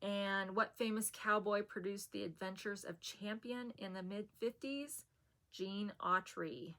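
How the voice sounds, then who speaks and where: A woman chatting to camera in a soft, soothing voice that still carries a bright, sharp edge. She rambles a little, with easy fillers and small laughs, in the relaxed way of a long-time vlogger. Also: medium pitch, unhurried, low-quality recording. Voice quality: soft and soothing, said to put people to sleep. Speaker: haul vlogger. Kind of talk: casual chat to camera.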